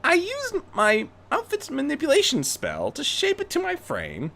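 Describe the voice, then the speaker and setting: A young female unicorn heroine, speaks very high and with big swoops in pitch. She is refined and defiant, laying out her case with earnest, theatrical determination. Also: wildly swooping pitch, normal speed, gravelly, a bit bright, slight background noise, exaggerated voice. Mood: confident, smug. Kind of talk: acted fan fiction reading.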